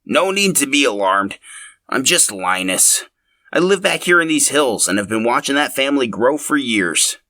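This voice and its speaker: A friendly old hermit of the hills as a man acts him out for a story. He talks in a rustic, knowing, amused way, with a bright, forward tone. The sound is dry and close. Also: mid-range voice, big pitch swings, moderate pace, no room sound, voice pushed up. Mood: reassuring, amused.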